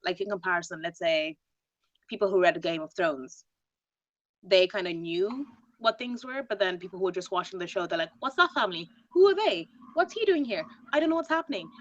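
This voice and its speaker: A woman joining a casual book discussion, chatty and acting out quick reactions in asides. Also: fairly low pitch, lively intonation, highs cut off. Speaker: book club panelist.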